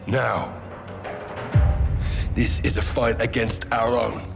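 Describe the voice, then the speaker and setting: An actor playing a determined Mexican special forces leader in a military game, emphatic, with a Mexican accent. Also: male voice, medium pitch, flat delivery, slow pace, vocal fry, over music. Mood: rallying, determined. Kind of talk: dramatic game acting.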